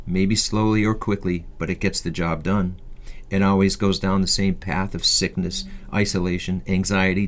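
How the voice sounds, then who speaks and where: Deep-voiced man reading his memoir. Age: 50s. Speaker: author reading a personal memoir. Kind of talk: scripted first-person narration, audiobook reading.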